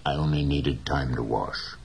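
A man voicing a poor but proud, gentle old fisherman who speaks plainly and slowly. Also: rumbling low, creaky, a little hiss or hum, exaggerated voice. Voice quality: slow, plain, dignified speech.

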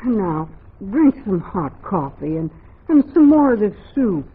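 A woman's voice: mid-range voice, big pitch swings, a little slow, husky, soft background music, exaggerated voice. An actress in a 1940s radio drama playing a loyal, bossy frontier woman. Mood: tender. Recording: muffled, narrow-band old radio broadcast.

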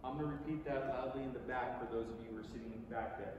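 A middle-aged American man giving a theatrical, conversational staged reading to an audience, deliberate and emphatic, with pauses. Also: mid-range voice, fairly even intonation, a little mellow, muffled recording. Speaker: stage reader performing a book. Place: large theatre stage, indoors. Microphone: far audience camera. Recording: distant, echoey theatre recording with noise.

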